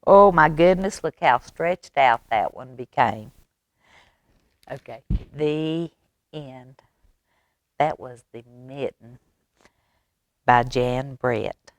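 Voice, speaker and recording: A low-pitched woman reads a children's picture book in a close, dry recording. She takes her time, expressively shaping each phrase and turning to young listeners with simple questions. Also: animated, takes it slowly, long pauses, no echo. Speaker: library storytime reader.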